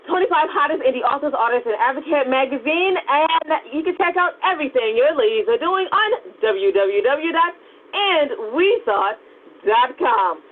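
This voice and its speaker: A woman's voice: high-pitched, animated, moderate pace, slight background noise. A woman co-hosting a podcast in casual chat, playful and rambling in the way she talks. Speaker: podcast co-host. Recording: tinny phone-like radio sound.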